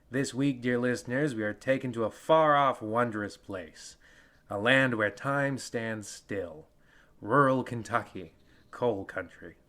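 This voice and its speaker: A man playing a pompous, crotchety old narrator of a radio horror show, with a theatrical, drawn-out delivery. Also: medium pitch, fairly bright tone, close to an everyday voice.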